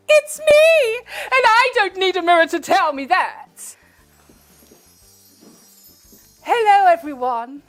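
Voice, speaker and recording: A wicked pantomime queen, vain and scheming. Her voice is very high and swoops around as she gloats with slow, haughty relish. She talks down to her audience in grand theatrical style. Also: a bit bright, full character voice. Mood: smug. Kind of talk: pantomime villain monologue to audience.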